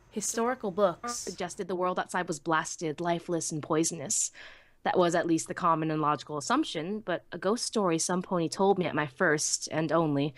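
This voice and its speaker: A woman playing a small, anxious young female pony who narrates her own story in an expressive, earnest way. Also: on the low side, no echo, studio quality, natural voice. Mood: troubled, wondering. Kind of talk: dramatic first-person story narration.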